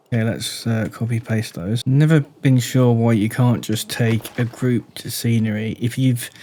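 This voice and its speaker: A man giving casual commentary on a game build, speaking deliberately low and quiet while he thinks aloud and asks the viewer questions. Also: slight background noise. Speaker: gaming YouTuber.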